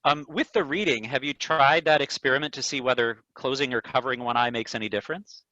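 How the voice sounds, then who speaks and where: Man, medium pitch, bright crisp tone, measured medical explanation, careful doctor, lo-fi video call. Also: no room sound. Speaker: neuro-ophthalmologist answering patient questions. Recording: dull, lo-fi video call audio.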